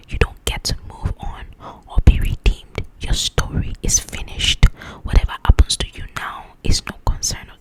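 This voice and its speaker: A woman speaking in a breathy, confiding whisper, chatting casually to the listener in an ASMR style. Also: low-quality recording. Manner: confiding, conversational, checks that the listener follows. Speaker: host of an ASMR book channel. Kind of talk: ASMR, whispered chat about book highlights.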